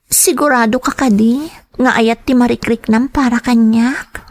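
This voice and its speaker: A woman's voice: on the high side, fairly even intonation, a little slow, pitched above the performer's own voice. A woman voicing a clingy, demanding young mistress with a pleading, wheedling manner in a melodramatic audio drama. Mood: pleading, tender.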